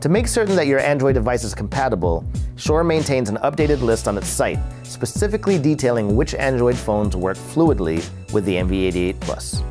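Low-voiced American man presenting a review. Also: big pitch swings, fairly quick, over music. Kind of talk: scripted review narration. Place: studio.

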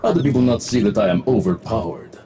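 A man's voice: lowish voice, lively intonation, quick pace, crowd noise, close to an everyday voice. A man voicing a smug, wisecracking futuristic mech-suit warrior for a video game, in punchy, boastful lines. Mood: smug, taunting. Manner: boastful, punchy, clipped callouts. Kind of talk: video game voice pack barks.

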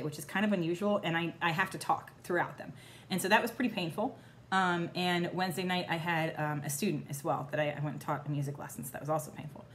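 A woman in her thirties talks casually to a phone camera in her home kitchen. Her slightly low voice is still a little hoarse and thin as it recovers. She rambles along with many fillers, and faint household ambience sits underneath. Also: fairly bright tone, slight background noise. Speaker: vlogger. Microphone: phone or camera at arm's length.

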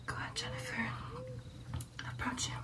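A young woman playing a tender, powerful vampire girlfriend in an ASMR roleplay, with a soft, breathy, deliberate delivery. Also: recorded from a distance, close to an everyday voice. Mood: calm, commanding. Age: young adult. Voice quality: breathy whisper. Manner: soft, intimate, reassuring, deliberate. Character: tender, powerful female vampire girlfriend addressing her coven.

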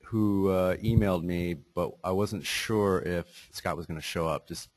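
A man's voice: deep voice, unhurried, dry recording. A man hosting a meeting, announcing speakers informally. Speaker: meeting host.

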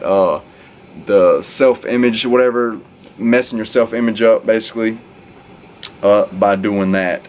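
A man's voice: fairly low pitch, slight background noise. A young man talking casually to camera from an outdoor porch, rambling and upbeat with lots of fillers. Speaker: fitness vlogger. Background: crickets and insects.

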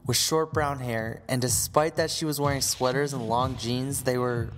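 A man's voice: medium pitch, fairly even intonation, normal speed, background noise. A man narrating a scripted story with wry humor as the narrator.

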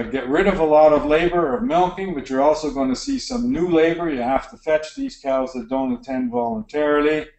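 A middle-aged man with a Canadian accent gives a practical slide lecture on barn design, relaxed and full of fillers and restarts. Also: medium pitch, gravelly. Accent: Canadian English. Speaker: dairy barn design consultant. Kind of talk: lecture narration over slides. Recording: roomy echo.

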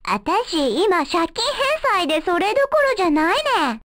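Squeaky, pushy Chinese girl. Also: sing-song, moderate pace, fairly bright tone, exaggerated voice. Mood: blunt, scheming. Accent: mock Chinese. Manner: blunt, pushy, clipped broken grammar. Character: small, blunt, money-pressed young Chinese girl fighter. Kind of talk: anime game voice acting.

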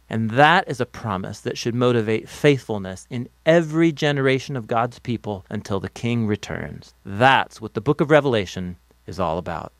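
Male narrator, American accent, measured explanatory delivery, scripted educational voice-over, very dry close studio sound. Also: mid-range voice, dry recording.

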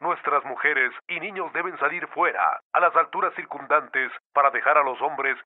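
An actor playing a solemn mayor rallying citizens in a radio drama. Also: male voice, fairly high pitch, normal speed, natural voice. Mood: solemn, resolute. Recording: tinny, band-limited radio sound.

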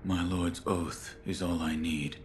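An actor playing a stoic, loyal shinobi warrior in a video game, speaking in terse, grave, restrained phrases. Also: male voice, sits low, fairly even intonation, slow pace, slight background noise. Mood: respectful, grave.

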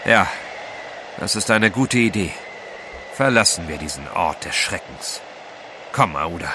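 An actor playing an earnest, gallant gentleman adventurer in an audio drama, with a formal, dramatic manner. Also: low-pitched, animated, measured pace, noisy background. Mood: grave.

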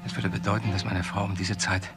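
An actor playing a desperate, controlling professor in a crime radio play, speaking in a deliberate, halting, insistent way. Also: male voice, low-pitched, flat delivery, over loud music, close to an everyday voice. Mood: urgent, scheming. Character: desperate, controlling professor who confesses to killing his wife.

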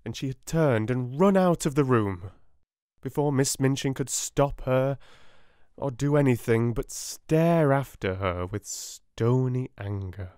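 A man with a British accent narrating an audiobook in a soft, gentle, measured way. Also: mid-range voice, lively intonation, clean tone, no echo, studio quality. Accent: British English. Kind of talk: soft-spoken audiobook reading.

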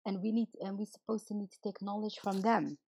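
A hoarse woman who is losing her voice hosts a livestream and rants indignantly, firing rhetorical questions at her viewers. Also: mid-range voice, fairly even intonation, a little fast, darkish tone. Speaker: livestream host. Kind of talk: heated casual livestream rant.

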